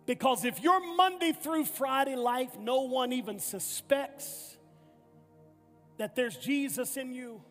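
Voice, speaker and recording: A man preaching with a strikingly high voice. He drives each point home, repeating and restarting his phrases. The sound rings through a large echoing church, with soft music underneath. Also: takes it slowly. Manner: emphatic repetitive preaching with stammered restarts. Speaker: pastor. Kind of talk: sermon. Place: church sanctuary. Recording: echoey hall with faint music.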